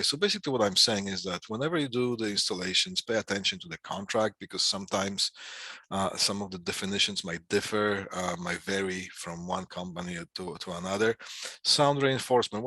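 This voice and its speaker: Low, bright-voiced man teaching a class. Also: no echo. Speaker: instructor giving a class on PA systems. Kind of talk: informal slide lecture.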